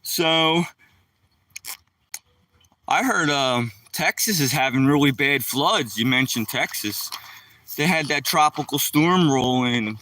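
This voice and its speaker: A man's voice: mid-range voice, expressive, takes it slowly, pauses often, pushed and bright, no room sound, far from the mic. Rambling Philadelphia man on a phone stream. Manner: rambling, talks to viewers directly. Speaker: live streamer. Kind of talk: casual live stream chat. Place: outdoors by a rural lake. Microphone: phone held while walking.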